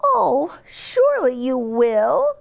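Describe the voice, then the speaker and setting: A busy storybook mother hen, acted out by a woman, pitched very high with huge swoops. She clucks, pleads and scolds in exaggerated exclamations, bossy and quick to take offence. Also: wildly swooping pitch, vocal fry, full character voice. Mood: pleading.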